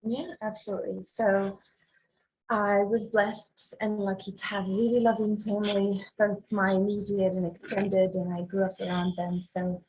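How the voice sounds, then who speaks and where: Young woman from morocco, guest on a podcast, flat even tone, takes her time with lots of ums. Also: medium pitch, a little hiss or hum, rough recording. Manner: thoughtful, measured, with frequent ums. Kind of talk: casual interview talk.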